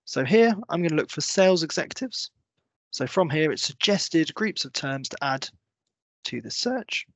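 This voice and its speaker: A man in his thirties presenting a software walkthrough, explaining step by step in a semi-scripted tutorial style. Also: on the high side, animated, normal speed, no echo. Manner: clear, step-by-step explanatory delivery. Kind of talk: semi-scripted screen-share tutorial narration.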